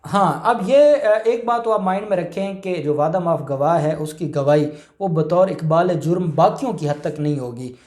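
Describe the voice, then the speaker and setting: High-pitched Pakistani man in an echoey room. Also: darkish tone. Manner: explanatory, halting, repeats and rephrases points. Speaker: lawyer explaining law. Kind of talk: informal legal lecture. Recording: roomy, echoing indoor sound.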